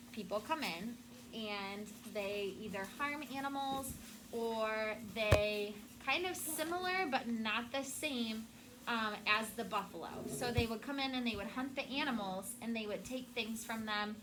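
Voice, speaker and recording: A woman teacher with a high voice leads a classroom read-aloud for children. She explains things in simple words and often checks that they follow. The recording has clear room noise. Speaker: schoolteacher.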